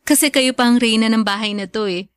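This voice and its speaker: A woman's voice: a little high, quick pace, fairly bright tone, smooth, no echo, clean studio sound, close to an everyday voice. A woman playing a devoted, respectful young Filipino graduate in a radio drama, speaking sweetly and politely to a parent.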